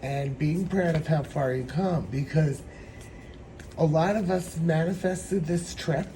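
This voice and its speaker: A man with a hoarse, troubled throat giving a meandering, reflective spiritual tarot reading. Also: on the high side, mellow, slight background noise, rough recording. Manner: meandering, reflective, slow-spoken. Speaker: tarot reader.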